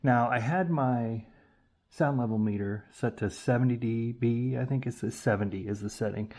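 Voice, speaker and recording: A man's voice: medium pitch, normal speed, dark tone. A man hosting a casual hands-on tutorial, explaining informally and thinking aloud, often correcting himself as he goes. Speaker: YouTube host demonstrating vintage audio testing.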